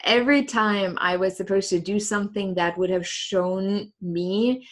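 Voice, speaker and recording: Woman host, mid-pitched, candid and reflective, with conversational ums. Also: measured pace. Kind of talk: casual interview talk.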